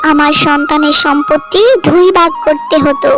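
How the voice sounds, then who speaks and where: A woman's voice: sits high, fairly even intonation, normal speed, natural voice. A woman voicing a greedy, scheming pregnant stepmother who talks in a haughty, demanding way in a cartoon. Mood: smug scheming. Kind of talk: cartoon voice acting. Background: loud music.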